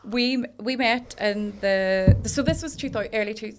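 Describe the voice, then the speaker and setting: A woman's voice: mid-range voice, unhurried. A woman from Derry with a strong local Northern Irish accent, joking and telling stories casually as a podcast co-host. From Derry, Northern Ireland. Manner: joking, teasing. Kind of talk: casual banter, storytelling.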